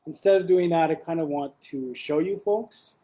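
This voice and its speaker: A young man presenting an academic webinar over a video call, talking in a relaxed way with frequent ums and restarts. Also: fairly high pitch, moderate pace.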